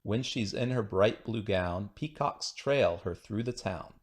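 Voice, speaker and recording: A man's voice: lowish voice, measured pace. A man reading children's rhyming poems aloud in a playful, singsong, rhythmic way. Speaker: children's book reader.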